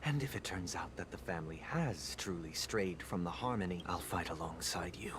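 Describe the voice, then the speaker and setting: An actor playing a composed young head of a noble family in a video game, with polite, formal, deliberate speech. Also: male voice, deep voice, lively intonation, background noise. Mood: solemn resolve. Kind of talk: scripted video game voice acting. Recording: loud music under the voice.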